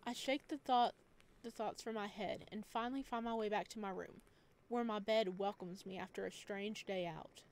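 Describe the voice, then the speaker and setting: A woman reading a visual novel aloud at home, with quick joking asides between lines of narration. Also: medium pitch, fairly even intonation. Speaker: game streamer.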